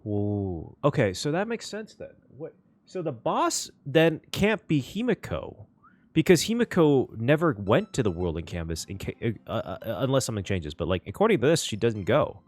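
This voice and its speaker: A man hosting a casual reaction video on a game story, excitable and given to repeating phrases for emphasis. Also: mid-range voice, moderate pace.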